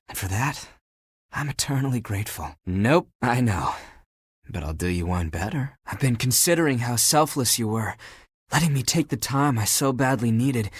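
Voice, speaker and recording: A man's voice: medium pitch, breathy edge, no room sound, studio quality. A man playing a living pile of laundry in a dating game, a flirtatious and sensitive swaggerer with a theatrical, emotive delivery. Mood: sincere, grateful. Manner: flirtatious, theatrical, emotive, swinging from guarded to grandiose. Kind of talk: dating game character voice acting.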